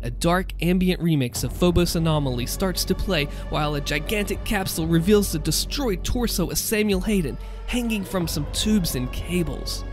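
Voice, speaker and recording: A man with a slightly high voice narrates for a gaming video. His delivery is deliberate and theatrical, like a dramatic reading. Music plays behind him. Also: animated.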